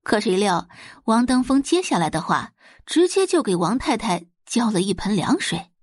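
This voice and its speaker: Expressive woman narrator, lively swooping pitch. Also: normal speed, slightly rough, dry recording, clean studio sound. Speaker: audio drama narrator. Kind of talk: scripted dramatic narration.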